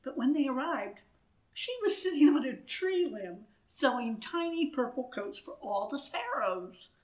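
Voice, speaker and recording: A woman in her sixties reads a children's storybook aloud. Her voice sits fairly high, and she phrases things deliberately and expressively, recorded indoors a few feet from the camera. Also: animated, darkish tone, rough recording. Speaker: storybook reader. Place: wood-panelled church room, indoors. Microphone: camera a few feet away.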